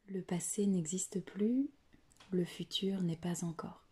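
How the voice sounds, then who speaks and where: A woman leading a guided yoga nidra meditation in a soft, breathy voice that often nears a whisper, soothing and deliberate, with long pauses. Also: on the low side.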